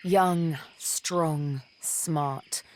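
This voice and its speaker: A woman playing a seductive, vengeful elven assassin and former slave in a video game, speaking in a deliberate, theatrical, teasing manner. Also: sits low, fairly even intonation, a little mellow, a little hiss or hum. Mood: haunted, bitter. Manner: deliberate, teasing, dramatic storytelling with pauses. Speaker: video game companion character.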